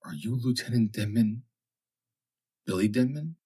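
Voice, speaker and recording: Injured young heroine , voiced by a man: raised pitch, soft and earnest, composed, audiobook drama. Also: unhurried, breathy edge. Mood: faint, uncertain. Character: weak injured young woman, gentle, earnest, calm.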